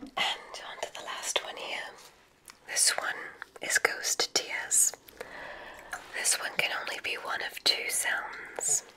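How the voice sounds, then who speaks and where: A woman playing a kindly witch examiner in an ASMR roleplay, whispering in a deliberate, encouraging way with theatrical phrasing. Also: close to an everyday voice, low-quality recording. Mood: mysterious, soothing. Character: kindly witch examiner testing a magic school applicant. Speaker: ASMR performer.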